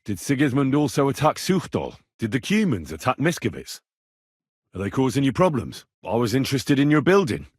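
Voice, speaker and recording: A British actor playing an earnest, curious young blacksmith's son in a medieval video game, speaking in a plain, inquisitive and informal way. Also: male voice, mid-range voice, big pitch swings, a little fast, slightly rough, no room sound, clean studio sound. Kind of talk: game dialogue lines.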